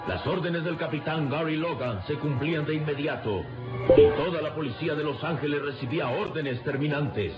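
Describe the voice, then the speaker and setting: A male actor narrating an adventure radio serial in a solemn, measured and suspenseful manner. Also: a little high, lightly acted. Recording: dull lo-fi radio, loud music behind.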